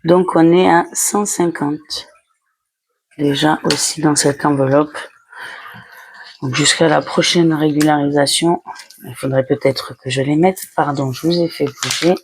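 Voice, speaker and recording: A woman casually narrating each step as a budgeting vlogger, talking herself through it in short, halting phrases. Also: sits low, fairly even intonation, slight background noise, poor audio. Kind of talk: casual step-by-step narration while handling cash envelopes.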